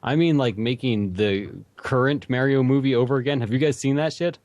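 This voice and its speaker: A man's voice: medium pitch, a little fast, a little mellow, dry recording, lo-fi sound. Man, slight Canadian accent, blunt, profane, podcast host, dry close sound. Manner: blunt, swears a lot, laughs between lines. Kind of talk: casual gaming chat.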